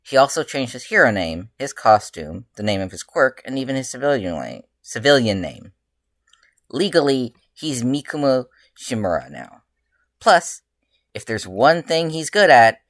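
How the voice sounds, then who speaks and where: A person reading their own fan fiction aloud as narrator, with expressive, emphatic delivery. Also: mid-range voice, no echo, studio quality.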